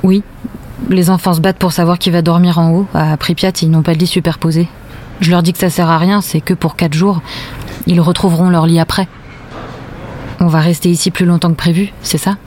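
A woman's voice: fairly low pitch, level tone, a little fast, darkish tone, lightly acted. An actress playing an evasive, weary young mother in a naturalistic audio drama. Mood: calm, guarded. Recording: faint vehicle and ambience noise.

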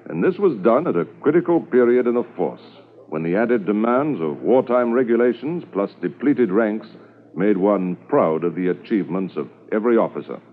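A man playing a seasoned, proud police officer recounting old cases in a measured way in a 1950s radio drama. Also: fairly low pitch, animated, natural voice. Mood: proud, reflective. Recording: tinny 1950s radio recording.

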